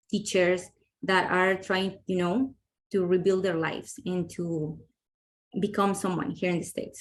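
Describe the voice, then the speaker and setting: Woman presenting books, chatty. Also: mid-range voice. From Honduras. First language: Spanish. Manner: enthusiastic, chatty, many fillers. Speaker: book presenter. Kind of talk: webinar book presentation.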